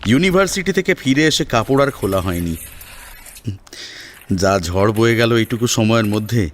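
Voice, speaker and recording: A man giving expressive scripted narration of a story as its narrator. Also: lowish voice, moderate pace, a little hiss or hum.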